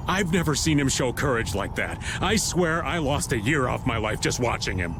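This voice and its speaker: A man's voice: medium pitch, sing-song, moderate pace, noisy background. A man voicing a wry, folksy middle-aged game character who complains with playful self-pity. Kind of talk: video game voice acting.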